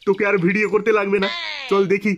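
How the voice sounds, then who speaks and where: A man's voice: high-pitched, monotone, fast talker, muffled recording, a crowd in the background, natural voice. A man voicing a crude, scornful buddy in a comedy cartoon, with a West Bengal accent. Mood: teasing, dismissive. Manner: crude, needling, dismissive.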